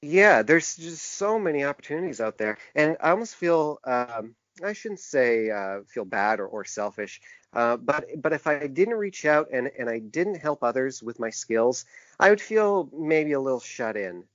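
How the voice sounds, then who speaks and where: A man answering interview questions in a halting, earnest way. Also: medium pitch, lively intonation, no room sound. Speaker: interview guest; typographer and book designer. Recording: dull, narrow-band lo-fi sound.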